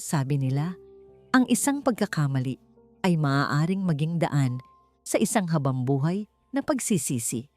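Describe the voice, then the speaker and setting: A woman narrating an audiobook romance story, speaking in an expressive storytelling manner that climbs higher at dramatic moments. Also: moderate pace, pauses often. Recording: faint background music.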